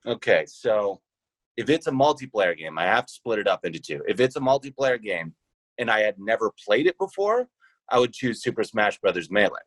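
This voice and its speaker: A man speaking as a podcast guest, thinking aloud deliberately in a conversational interview. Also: lowish voice, very animated, no room sound, low-quality recording. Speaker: podcast guest, founder of a role-playing podcast.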